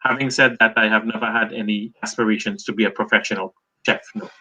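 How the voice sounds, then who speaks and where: A middle-aged Trinidadian man, a journalist and author, answering interview questions as a lively storyteller. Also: on the low side, fast talker, poor audio. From Trinidad and Tobago.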